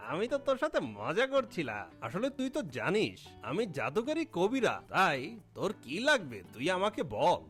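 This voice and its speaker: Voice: mid-range voice, huge pitch swings, moderate pace, a bit bright, faint music behind. An actor playing a jolly, kindly eagle healer in a children's cartoon, with a hearty, boastful, elder-like way of talking. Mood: amused. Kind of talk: Bengali children's cartoon voice acting.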